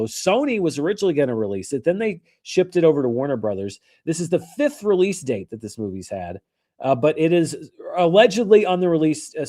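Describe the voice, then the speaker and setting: A hoarse man hosting a casual live-stream about movie news, rambling and correcting himself as he goes. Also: on the high side, darkish tone. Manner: rambling, self-correcting, chatty. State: hoarse from allergies.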